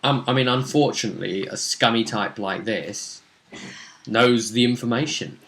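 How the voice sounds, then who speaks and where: Bright, forceful-voiced man chatting casually. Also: mid-range voice, moderate pace, slight background noise. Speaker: game master recapping a tabletop session. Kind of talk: casual chat.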